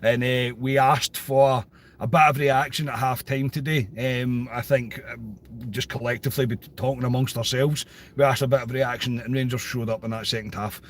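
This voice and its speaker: A Scottish man hosting a casual football podcast, his voice a bit croaky, chatty and repeating phrases for emphasis. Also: mid-range voice, fairly even intonation, normal speed, slight background noise. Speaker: podcast host.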